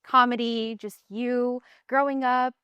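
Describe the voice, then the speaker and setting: A woman's voice: fairly high pitch, little pitch movement, slow pace, smooth, dry recording, lo-fi sound. A woman hosting a casual interview, asking questions in a chatty, informal way. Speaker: interviewer, host.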